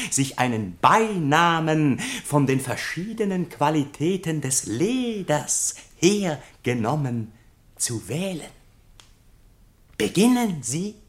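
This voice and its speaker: An actor playing a pompous, long-winded chairman of a literary society in a German radio play, speaking in a deliberate, oratorical way. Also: on the high side, sing-song. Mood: pompous, declaiming. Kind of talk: German radio play acting, mock-solemn speech.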